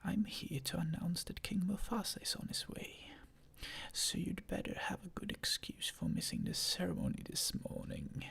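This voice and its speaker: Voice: medium pitch, normal speed, fairly bright tone, pitched below the performer's own voice, full character voice. Fussy pompous bird whispered by a reader. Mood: prim, indignant. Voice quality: breathy whisper. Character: fussy male hornbill majordomo, pompous.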